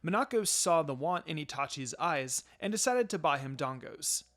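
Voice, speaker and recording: A man's voice: fairly high pitch, animated, normal speed, fairly bright tone. A man narrating a scripted fan fiction story as a storyteller. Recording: music behind the voice.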